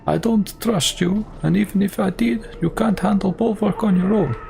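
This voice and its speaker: A man acts out a defiant, distrustful fantasy fighter. The voice is pushed up high and forceful, blunt and emphatic, with faint noise behind it. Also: normal speed, creaky. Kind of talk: reading game dialogue aloud.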